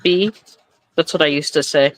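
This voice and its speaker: A woman's voice: deep voice, moderate pace, dry recording. A woman appearing as a guest on a craft livestream, playful and teasing, laughing often. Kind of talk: casual craft chat.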